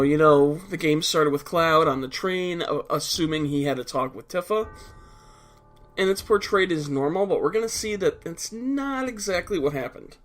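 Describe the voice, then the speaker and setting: A man with a stuffed-up nose giving casual, explanatory commentary over a video game, blunt and a little profane at times. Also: a little high. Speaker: let's play commentator.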